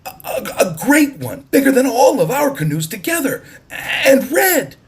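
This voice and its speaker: Man putting on an excitable, high-voiced little boy. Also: unhurried, slightly rough, exaggerated voice. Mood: excited. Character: excitable little six-year-old boy, fanciful and stubborn.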